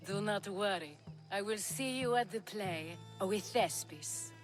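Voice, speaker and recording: An actress playing a flirtatious, teasing, languid Greek lover in a video game. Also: female voice, medium pitch, unhurried, music in the background, lightly acted. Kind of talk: cinematic game acting.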